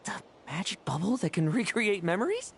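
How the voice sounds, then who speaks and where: Wry, animated young warrior. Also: male voice, high-pitched, a little fast, creaky, a little hiss or hum, heavily acted. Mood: wry, curious. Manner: breezy, wry, teasing. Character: cocky, teasing, irreverent younger warrior. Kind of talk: video game character acting.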